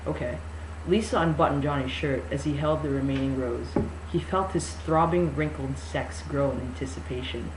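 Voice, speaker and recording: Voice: mid-range voice, moderate pace. An amateur reader performs a novel in mock-dramatic style. The delivery is sarcastic and incredulous, with sweary asides. The room echoes and there is faint noise behind the voice.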